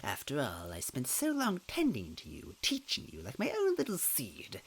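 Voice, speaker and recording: A man's voice: mid-range voice, wildly swooping pitch, normal speed, pitched above the performer's own voice, exaggerated voice, rough recording. A man voicing a cruel scheming old female bean witch in a storybook reading, sneering and swinging from hisses to screams. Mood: menacing. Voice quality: raspy, cackling. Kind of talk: storybook reading with character voices.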